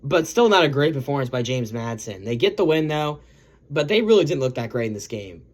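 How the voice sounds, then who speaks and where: A man hosting a casual sports recap video, his voice a little hoarse from yelling, rambling and correcting himself as he talks. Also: mid-range voice, very animated, brisk. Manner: rambling, self-correcting, lots of "guys" and "um".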